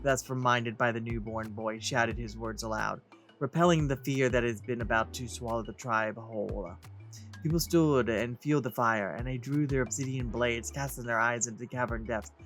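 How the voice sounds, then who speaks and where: A man's voice: mid-range voice, fairly bright tone. A man hosting a let's play, reading game text aloud theatrically and commenting as he goes.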